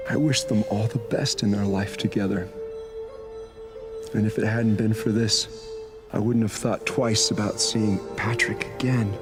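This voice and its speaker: A man's voice: lowish voice, close to an everyday voice. An actor playing an earnest, emotional male bishop in a video game cutscene, with music behind him. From Ireland.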